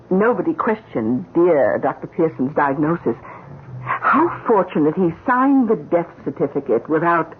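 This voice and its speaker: An actress playing a coldly confident, scheming housekeeper in a radio mystery, speaking formally and with sly insinuation. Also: female voice, low-pitched, sing-song, a little slow. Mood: sly menace. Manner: formal, insinuating, coolly assured. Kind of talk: radio mystery drama acting. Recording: muffled, noisy old radio broadcast.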